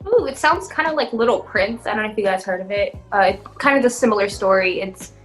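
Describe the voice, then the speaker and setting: A young adult woman co-hosting a casual podcast, telling relatable stories to friends in an animated way. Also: mid-range voice, fast talker, soft background music. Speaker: podcast co-host. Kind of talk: casual chat among friends.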